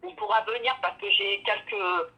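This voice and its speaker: A woman's voice: medium pitch, normal speed, pushed and bright, rough recording. A woman calling in to a live show, polite and effusive, rambling casually from one point to the next. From born in Gabon, raised in France. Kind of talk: casual, rambling phone-in comment.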